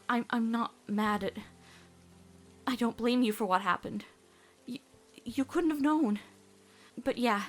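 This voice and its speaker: A woman voicing a devoted, earnest teenage girl in an emotional cartoon comic dub, pleading and faltering as she speaks. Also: on the high side. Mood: subdued grief. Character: devoted, earnest teenage girl, fearful of losing loved ones.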